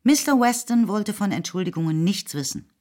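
A woman narrating a radio play in a measured, even storytelling manner. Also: mid-range voice.

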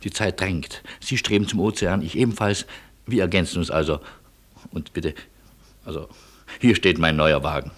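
An actor in a German radio play voicing a wry, courteous refugee who is resigned yet easily exasperated. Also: male voice, low voice, steady tone, normal speed, a bit bright. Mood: wry, polite. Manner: ironic, hesitant, courteous.

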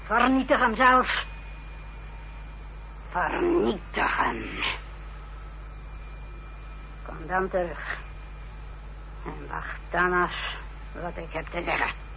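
A woman's voice: fairly low pitch, vocal fry. An actress playing a bitter, haughty, secretive mother in a radio drama, curt and contemptuous, on a dull, noisy old recording. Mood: bitterly mocking. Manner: curt, contemptuous, measured delivery.

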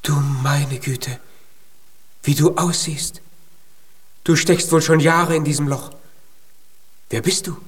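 A man's voice: fairly high pitch, fairly even intonation, slow pace, long pauses, husky. An actor in a children's radio play, playing a tiny male giant who is naive, earnest and full of hopeful pluck. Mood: wary, curious.

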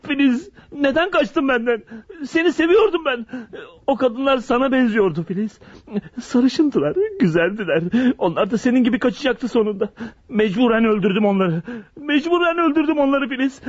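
An actor playing a deranged, self-pitying, lovesick killer in an anguished radio drama style. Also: male voice, very high-pitched, lively intonation, moderate pace, no room sound, dull audio. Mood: pleading, self-justifying. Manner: anguished, brooding monologue delivery. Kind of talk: Turkish radio drama acting.

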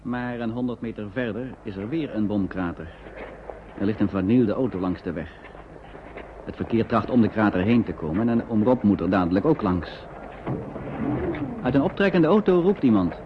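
An actor playing the sober narrator of a grim wartime radio play, reading scripted narration. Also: male voice, on the low side, animated, moderate pace, long pauses, highs cut off, natural voice. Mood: grave. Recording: thin, noisy old radio recording.

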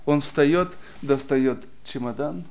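A man preaching and telling a personal story in short phrases, pausing after each so it can be interpreted. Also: on the high side, a little mellow. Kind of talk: sermon testimony, interpreted line by line.

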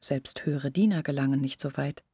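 A woman's voice: a bass voice, brisk, dark tone, husky, no room sound, studio quality. An actress playing an anxious, confiding young village woman in a literary radio drama, explaining her doubts earnestly and intimately. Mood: brooding, doubting.

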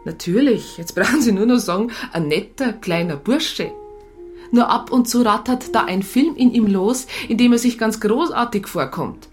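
A woman's voice: a little high, animated, normal speed, soft background music, natural voice. Woman playing a blunt, sardonic police psychologist. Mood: agitated, sarcastic. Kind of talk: naturalistic radio drama dialogue.

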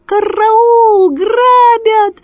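A woman voicing a gullible, excitable mother crow from a storybook, crying out in alarmed caws. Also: high-pitched, slow, no room sound, highs cut off, pitched above the performer's own voice, full character voice. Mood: outraged. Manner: cawing, alarmed cries.

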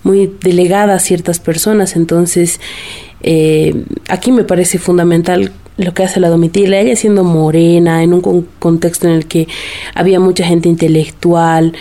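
A woman's voice: fairly low pitch, little pitch movement, normal speed, mellow. A woman giving a spontaneous, explanatory interview answer as a researcher, feeling for her words. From Bolivia.

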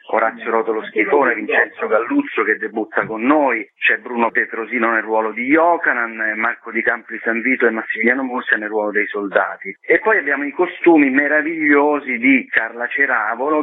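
A man's voice: mid-range voice, breathy edge. A man, speaking articulately and at length as a theatre director interviewed on the radio. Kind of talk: radio interview, explaining a stage production. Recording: phone-like narrow band radio call.

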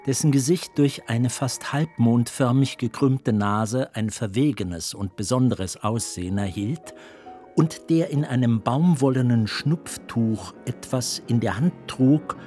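A man's voice: mid-range voice, measured pace, mellow. Male narrator, literary radio play, takes his time, bit of music behind.

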